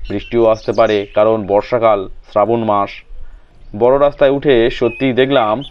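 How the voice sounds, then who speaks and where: Male storyteller, measured literary narration. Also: medium pitch, lo-fi sound. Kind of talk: audiobook narration of a short story.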